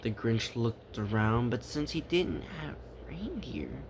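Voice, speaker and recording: A man tells a children's story with big swoops in pitch and a gravelly edge. He reads theatrically, stressing and stretching words for effect. The pace is unhurried, with faint noise behind him. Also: animated. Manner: dramatic, drawn-out emphasis and repetition. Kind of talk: theatrical read-aloud of a rhyming children's story.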